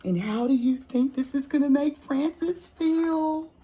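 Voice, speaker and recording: Slow-paced woman reading to children. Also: sits high, mellow. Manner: slow, deliberate, questioning young listeners. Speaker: fourth grade teacher reading aloud to children. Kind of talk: expressive children's picture-book read-aloud with asides to listeners.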